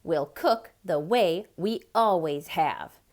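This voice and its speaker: A woman's voice: on the low side, slow pace. A woman voicing a bossy, scornful cat with a snappy manner in a children's storybook. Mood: snappish. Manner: snappy, scoffing.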